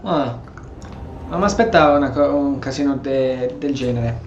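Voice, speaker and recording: A man's voice: mid-range voice, moderate pace, lo-fi sound, a little hiss or hum. A man from Italy doing informal, slangy gameplay commentary with some role-play, often muttering to himself. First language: Italian. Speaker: gaming streamer. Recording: echoey room, faint game music. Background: game music.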